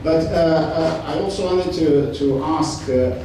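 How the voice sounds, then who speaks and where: A man speaking on a discussion panel, halting, with many fillers and restarts. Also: fairly high pitch, mellow. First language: Romanian. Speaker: panel participant. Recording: echoey hall, distant mic, faint noise.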